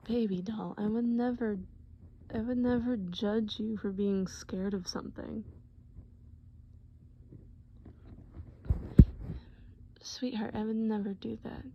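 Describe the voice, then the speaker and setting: A woman voicing a tender, worried boyfriend in a comfort roleplay, breathy and often whispering, with halting, soothing speech. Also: mid-range voice, fairly even intonation, muffled recording. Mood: reassuring. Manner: soothing, halting, pet names.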